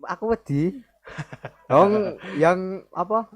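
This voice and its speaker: A man's voice: high-pitched, slow. A young man with a strong Javanese accent, hosting a casual chat and joking around. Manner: joking, mixes in Javanese words. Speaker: host.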